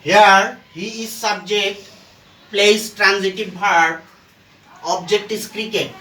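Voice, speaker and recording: Casting: grammar teacher, extremely slow and halting delivery, bright forceful voice, echoey room recording. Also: medium pitch, steady tone. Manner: halting, short phrases, asks and answers own questions. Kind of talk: step-by-step grammar lesson. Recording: echoey room, far from the mic.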